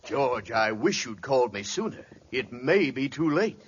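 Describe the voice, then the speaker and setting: An actor playing a mock-grave family doctor in a 1940s radio comedy, with a deliberate bedside manner. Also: male voice, medium pitch, sing-song, breathy edge. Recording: very noisy, dull old radio recording.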